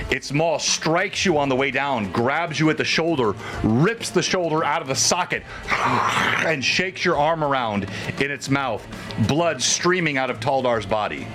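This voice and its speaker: A man works as game master in a live tabletop game, improvising narration and calling out rulings. His voice sits at an average pitch, with music clearly playing behind him.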